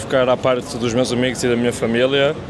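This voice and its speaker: A sixteen-year-old boy answers a street interviewer quickly in an even, flat tone. Loud city noise and a passing siren sit clearly behind his voice outdoors. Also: medium pitch. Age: teenager. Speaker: interviewee passerby.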